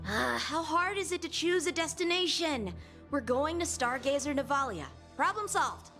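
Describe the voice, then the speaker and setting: A woman's voice: high voice, expressive, normal speed, pushed and bright, soft background music, full character voice. A woman voicing a brash, impatient young boy in a video game, with a sassy, blunt manner. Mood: impatient, scornful. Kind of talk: animated video game character acting.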